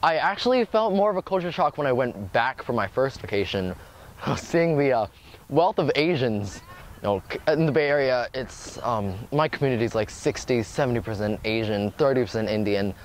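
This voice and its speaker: A teenage boy from California giving a documentary interview, thoughtful and pausing with many ums and "you know". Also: medium pitch, expressive, a little hiss or hum. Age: teens. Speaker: student interviewee.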